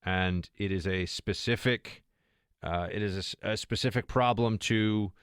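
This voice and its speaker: A man's voice: fairly low pitch, a little slow, clear, resonant tone, dry recording, clean studio sound. A man hosting a talk radio show, talking off the cuff with many fillers and restarts. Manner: extemporaneous, with frequent fillers and restarts. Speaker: radio host.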